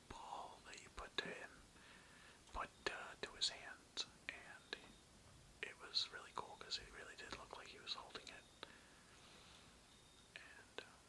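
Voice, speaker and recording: A young adult whispering an informal, rambling ASMR toy show-and-tell close to the mic. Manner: rambling, informal, occasional swearing. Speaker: content creator showing a toy collection.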